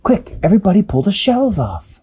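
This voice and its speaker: A man doing an eager, high-voiced team medic. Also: huge pitch swings, a little fast, mellow, breathy edge, full character voice.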